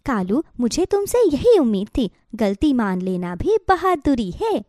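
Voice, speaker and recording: A woman's voice: fairly high pitch, sing-song, unhurried, warm tone, dry recording, clean studio sound. A woman voicing a kindly mother bird in a children's cartoon, encouraging and earnest. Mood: tender, encouraging.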